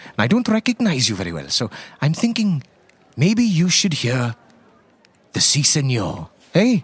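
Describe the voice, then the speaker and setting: Animated, folksy Irish priest in his sixties played by a man. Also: a little high, measured pace, husky, no echo, exaggerated voice. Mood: boastful, playful. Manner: folksy, rambling, coaxing with tag questions.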